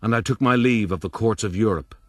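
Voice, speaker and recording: A male actor plays a self-regarding old-style rogue telling his own story. His voice is deep and recorded very dry, with theatrical self-pity in a radio drama narration. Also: fairly quick, no echo, close to an everyday voice. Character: self-pitying, vain male rogue recounting his life.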